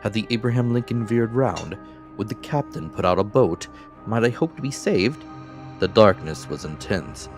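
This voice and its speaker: A man reading classic fiction as a dramatic, scripted audiobook narration. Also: low-pitched. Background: faint music.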